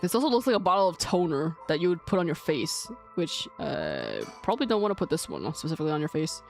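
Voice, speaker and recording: A woman giving chatty, animated commentary on a video game as she plays, talking back to it and reading bits aloud. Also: deep voice, fast, soft background music. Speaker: host of a video game let's play.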